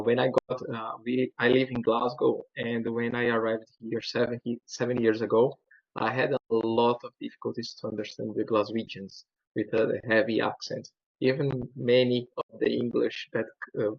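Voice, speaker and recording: Brazilian-accented male presenter, non-native speaker, halting delivery with lots of fillers, dry muffled video call sound. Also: mid-range voice, steady tone, vocal fry, husky, no room sound. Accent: Brazilian Portuguese. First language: Portuguese. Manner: halting, many fillers and restarts. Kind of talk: webinar presentation.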